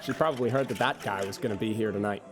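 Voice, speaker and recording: A man's voice: lowish voice, quick pace, lightly acted. A man acting as a proud, gossipy and easily indignant bartender in an audio drama. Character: gossipy, huffy male bartender, proud of his drinks. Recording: faint music behind the voice.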